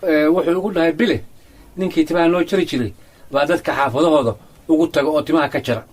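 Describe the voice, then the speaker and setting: A man's voice: a little high, steady tone, rapid-fire, close to an everyday voice. A man with a Somali accent playing a chatty, agreeable grandfather in a radio drama. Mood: defensive. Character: chatty, agreeable older grandfather wanting a haircut.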